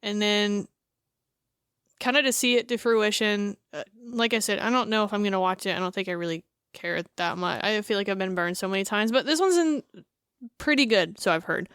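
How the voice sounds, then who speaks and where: Woman podcast co-host, animated and blunt, smooth clear voice, dry close mic. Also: mid-range voice, a little fast, no echo. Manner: animated, drops into mocking quoted voices, swears freely. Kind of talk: casual chat.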